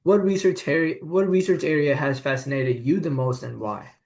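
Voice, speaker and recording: A man's voice: a little high, moderate pace, darkish tone. Man, podcast host, casual interview, affirming, long run-on sentences.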